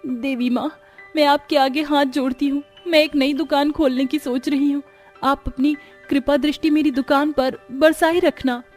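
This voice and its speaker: Woman as a devout anxious wife, high pitch, earnest, imploring, storybook drama, faint music. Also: fairly even intonation, moderate pace, darkish tone, close to an everyday voice. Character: devout, anxious young wife seeking money.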